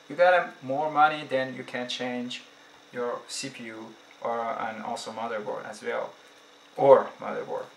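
A young man for whom English is not his first language, talking casually to camera as a gaming vlogger, slow and halting, with many fillers and restarts. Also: mid-range voice, creaky, a bit bright. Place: small room indoors. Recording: echoey room, faint background noise.

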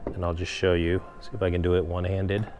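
A man's voice: deep voice, fast talker, noisy background. A man casually walking viewers through a step-by-step install in a garage workshop, talking straight to them. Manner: relaxed, explains step by step, addresses viewers directly. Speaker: kayak fishing vlogger. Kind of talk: casual hands-on tutorial.

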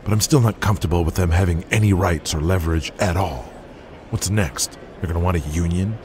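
Man playing a ruthless wrestling promoter, audio drama, cold and lecturing, very deep voice, faint background noise. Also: brisk, lightly acted. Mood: scheming.

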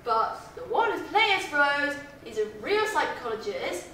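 A woman's voice: high voice, very animated, a little slow, pushed and bright, voice pushed up, full character voice. A young woman playing a brash, vain, exasperated actress in a sassy, theatrical comic stage monologue. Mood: indignant, confiding. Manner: sassy, theatrical, swearing confidante. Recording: very echoey, distant, noisy room.

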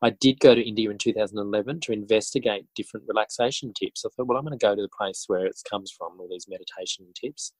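A man with an Australian accent answering interview questions conversationally, reflective and often halting to rephrase as he tells his story. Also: on the low side, no echo, low-quality recording. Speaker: interview guest, author and teacher.

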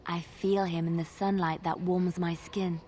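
An actress playing a devout young archer in a fantasy video game, earnest and hopeful, telling things in a hushed, dramatic way. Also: female voice, on the low side, little pitch movement, unhurried, a little hiss or hum, close to an everyday voice. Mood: earnest, fervent.

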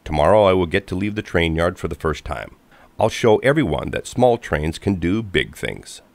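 A man's voice: deep voice, lively intonation, moderate pace, no echo. A man voicing a small, eager, determined young female train engine in an earnest, plucky manner for a children's storybook. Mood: hopeful.

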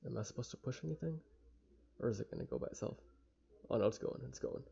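A quiet, laid-back young man with a gravelly, often breathy voice, streaming a game with casual talk and soft reading aloud. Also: medium pitch, quick pace, highs cut off. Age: young adult. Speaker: let's play streamer. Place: small indoor room with blinds.

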